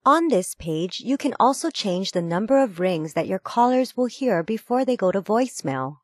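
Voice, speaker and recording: A woman reading a scripted instructional voice-over in a measured, formal, step-by-step manner. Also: medium pitch, lively intonation, darkish tone, dry recording, clean studio sound. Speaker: tutorial narrator.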